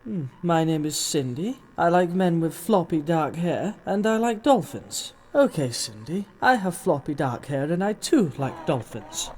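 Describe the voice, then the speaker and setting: An actor playing a cocky, scheming, insolent tech geek in a comic audio drama, with a sardonic manner. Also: male voice, a little high, sing-song, measured pace, few pauses, a little mellow, slight background noise. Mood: scheming, smug.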